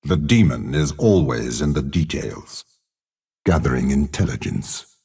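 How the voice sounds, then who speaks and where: An aging, imperious game villain with an extremely deep, husky voice. He is a cold schemer who speaks slowly and deliberately, with haughty menace and wide, dramatic swings in pitch. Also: expressive, mellow, heavily acted. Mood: calm, scheming. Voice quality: gravelly, husky. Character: cold, scheming older male warlord and spymaster, imperious villain. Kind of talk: video game character voice lines.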